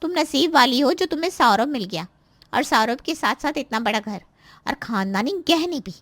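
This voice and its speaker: Spiteful, high-pitched nagging daughter played by a woman. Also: animated, moderate pace, a bit bright, no echo. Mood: scornful, taunting. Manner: nagging, insinuating, complaining. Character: scheming, spiteful married daughter stirring up family quarrels. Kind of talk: Hindi moral-story cartoon voice acting.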